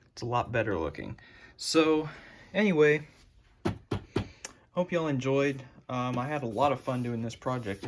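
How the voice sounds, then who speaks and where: A man giving a casual, informal hands-on DIY demonstration as a hobbyist. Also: mid-range voice. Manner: unhurried, informal. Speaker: hobbyist presenter. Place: his room at home. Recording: clearly noisy home recording with clicks.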